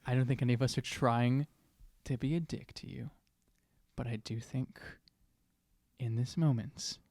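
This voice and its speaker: A man playing a tabletop role-playing game, casually improvising and thinking aloud in a halting way. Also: mid-range voice, fairly even intonation, a little mellow.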